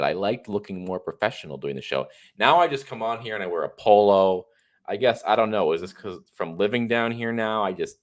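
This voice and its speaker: A man in his thirties hosting a casual political livestream, congested and a bit tired, talking in a chatty, rambling, opinionated way. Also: sits low. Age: 30s. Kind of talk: casual solo livestream monologue.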